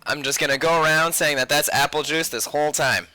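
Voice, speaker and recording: Bright-voiced man chatting on a livestream. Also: a little high, fairly even intonation, fairly quick. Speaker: livestream host, sketch artist. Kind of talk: casual livestream chat while drawing.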